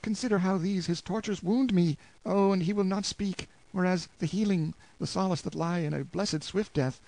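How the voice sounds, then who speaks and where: Male narrator doing a young peasant wife, high and imploring, begging breathlessly. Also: normal speed, darkish tone, no room sound, rough recording. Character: desperate pleading peasant wife, young woman.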